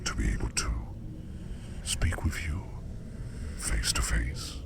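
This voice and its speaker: A man voicing a curious, courteous sleep demon in an ASMR roleplay, speaking in a breathy, gravelly whisper with formal, measured, reassuring phrasing. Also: a bass voice, full character voice. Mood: calm, courteous.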